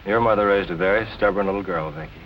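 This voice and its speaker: An actor playing a protective, slightly jealous, uneasy suitor in 1940s film noir style. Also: male voice, low voice, very fast, dull audio, natural voice. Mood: concerned, fond. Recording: noisy old film soundtrack.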